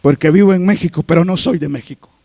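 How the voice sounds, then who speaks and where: A man preaching a sermon as pastor, reading scripture aloud and constantly asking the congregation questions, rising to emphatic shouts. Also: fairly high pitch, fast, gravelly, dry recording. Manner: reads scripture aloud between remarks.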